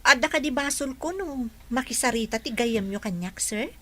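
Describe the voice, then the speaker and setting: A woman plays a wary, deferential young maid in an Ilocano radio drama. Her Ilocano-accented voice is high and swings up and down. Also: animated, normal speed, fairly bright tone. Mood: wary, puzzled.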